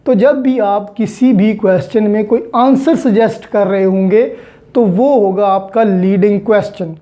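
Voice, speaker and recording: A Pakistani woman delivers an explanatory lecture as a law teacher. Her voice is mellow and mid-pitched, and she takes her time. She is didactic, restating points and dropping in English legal terms. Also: a little slow. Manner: didactic, repeats points for emphasis.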